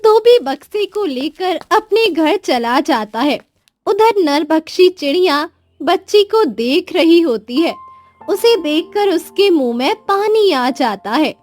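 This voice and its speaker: A woman's voice: very high voice, expressive, takes it slowly, a little mellow, dry recording. A woman narrating a scripted children's story. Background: music.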